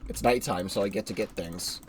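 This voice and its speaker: A man's voice: deep voice, brisk, rough recording. A congested young man giving rambling, casual commentary while streaming a game live, often correcting himself and swearing offhand.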